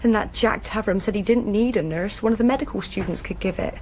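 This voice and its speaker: A woman's voice: mid-range voice, fast talker, a little mellow, a little hiss or hum, natural voice. An actress playing a plain-spoken young woman in a radio drama, giving her account with some anxiety. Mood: subdued recounting.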